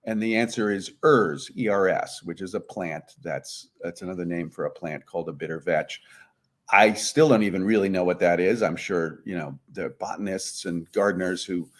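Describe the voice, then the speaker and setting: A man's voice: low voice, moderate pace. A man speaking as an interview guest, giving conversational, explanatory answers. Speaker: guest, puzzlemaker.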